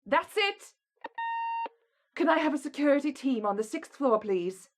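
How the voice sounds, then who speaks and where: A woman's voice: high voice, animated, moderate pace. An actress playing a stern, exasperated woman in authority in a video game, impatient and commanding, over a noisy background. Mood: angry, commanding. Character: stern, exasperated female staff member demanding entry.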